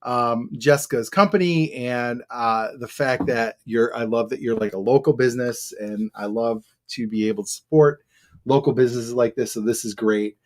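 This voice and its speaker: Loud upbeat woman hosting a live show. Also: a bass voice, moderate pace. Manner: loud, upbeat, greets viewers by name. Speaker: show host. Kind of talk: casual livestream chat. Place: basement studio. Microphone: one shared table microphone.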